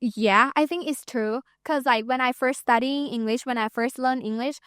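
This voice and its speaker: A woman's voice: high-pitched, normal speed, a bit bright, clean tone, dry recording, studio quality. A young Thai woman with a Thai accent, a guest chatting casually and loosely, full of "like" and "you know". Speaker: guest, TikTok creator. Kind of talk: casual interview chat.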